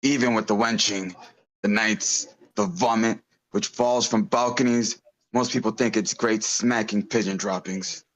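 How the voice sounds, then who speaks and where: A man's voice: fairly low pitch, steady tone, a little slow, fairly bright tone. A man playing a crude, resentful poor commoner in a screenplay table read. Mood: bitter, crude.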